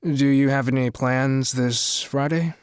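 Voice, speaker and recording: A man's voice: mid-range voice, steady tone, a little slow, clear, resonant tone, no room sound, studio quality, lightly acted. A man playing a domineering, smug, flirtatious crime boss in an audio drama, commanding and insistent.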